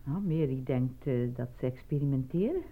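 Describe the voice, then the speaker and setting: A woman's voice: extremely low pitch, lively intonation, normal speed. An actress playing a weary, bitter female medical assistant in a dystopian radio play. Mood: matter-of-fact. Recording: muffled, phone-like old radio recording.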